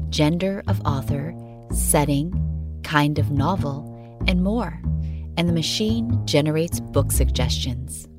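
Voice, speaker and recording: A woman reading a scripted podcast narration as its narrator, with measured, emphatic phrasing. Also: sits low. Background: music under the voice.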